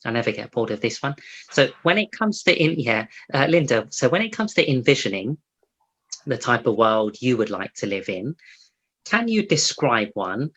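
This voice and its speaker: A man's voice: mid-range voice, big pitch swings, moderate pace, fairly bright tone. A man hosting a live interview over a video call, talking loosely and restarting his sentences as he goes. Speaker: host.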